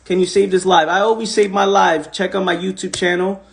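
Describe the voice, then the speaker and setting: A man hosting a casual, animated motivational talk on a phone livestream. He repeats himself often and swears casually. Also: high-pitched, brisk, gravelly. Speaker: host of an Instagram live.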